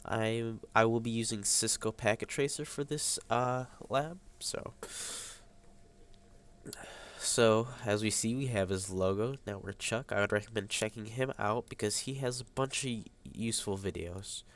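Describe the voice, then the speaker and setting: Young man, congested nasal sound, slightly low voice, halting student tutorial delivery. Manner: hesitant, many ums and uhs. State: congested, stuffy nose. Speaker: high school student. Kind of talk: screen-recorded tutorial. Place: at a computer, voice over screen capture.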